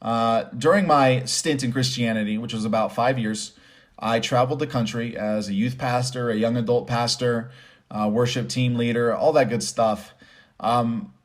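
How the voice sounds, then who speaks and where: A man giving conversational motivational commentary with personal stories, stressing points by repeating phrases. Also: mid-range voice. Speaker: host and commentator.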